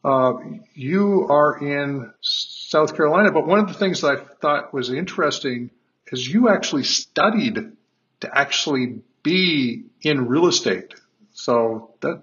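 Male podcast host, middle pitch, halting with lots of "uh", dull lo-fi audio. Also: a bit bright. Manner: hesitant, many fillers and restarts. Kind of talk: podcast interview.

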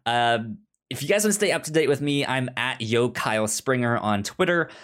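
A man co-hosting a podcast in casual chat, animated, with halting, repetitive phrasing. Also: medium pitch. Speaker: podcast co-host.

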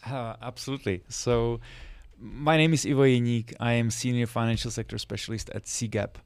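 A man from the Republic, a guest on an interview podcast, explaining his work in a measured way with some restarts. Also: medium pitch, steady tone, a little mellow, no room sound. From Czech Republic. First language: Czech. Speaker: guest, financial sector specialist.

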